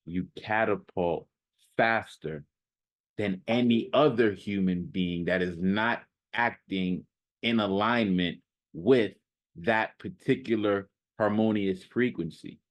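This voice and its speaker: A man's voice: fairly low pitch, slow. A man giving an unscripted motivational monologue as a self-improvement coach, talking in an emphatic, repetitive, slangy and casual way.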